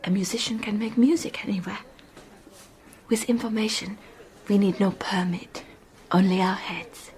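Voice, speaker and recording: An actress playing an earnest, gentle refugee woman teacher in a radio drama, speaking in a solemn, hushed, instructive way. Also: medium pitch, steady tone, normal speed, pauses often, a little hiss or hum, close to an everyday voice. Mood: solemn, intent.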